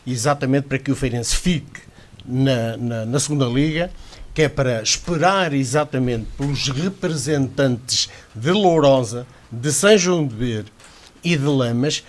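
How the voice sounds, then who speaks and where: A man's voice: medium pitch, a little slow, a little hiss or hum. A man with a Portuguese accent, talking on a radio sports panel in a rambling, self-correcting way. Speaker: panel commentator.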